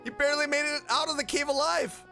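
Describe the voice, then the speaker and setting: Casting: male streamer, notably high voice, slow casual reactions, game music clearly underneath. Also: fairly even intonation, sharp tone. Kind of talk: casual gameplay commentary.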